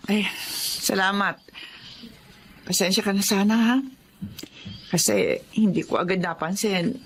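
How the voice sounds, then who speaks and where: A woman's voice: medium pitch, a little slow, slightly rough. A woman playing a worried, long-suffering older mother in a drama, plaintive and earnest as she speaks to and about her grown children. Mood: sad, weary. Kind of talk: Filipino radio-style drama acting.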